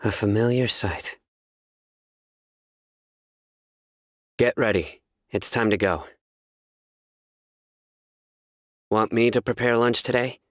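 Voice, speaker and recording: An actor playing a solemn, stern young enforcer of justice in a video game, speaking in a measured, formal, deadpan way. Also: male voice, fairly low pitch, no echo, clean studio sound, close to an everyday voice. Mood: calm, watchful. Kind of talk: scripted video game character voice lines.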